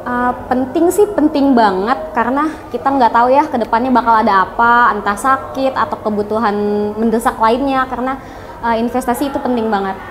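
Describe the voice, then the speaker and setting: A woman's voice: a little high, a little fast, noisy background. A twenty-year-old woman answering interview questions politely, with hesitant fillers. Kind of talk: casual street interview answers.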